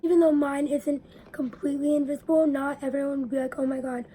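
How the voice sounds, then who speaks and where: A young woman speaks to her viewers in a raspy, high voice with a very dark, mellow tone. She explains things earnestly, and now and then a word fades into a whisper. A faint hiss of room noise sits underneath. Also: level tone, quick pace. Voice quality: raspy from allergies. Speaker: vlogger. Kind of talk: personal talk to camera.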